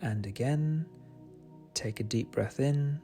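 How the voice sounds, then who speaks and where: Slow, mellow male meditation guide. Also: medium pitch. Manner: soothing, gentle, unhurried. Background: soft meditation music.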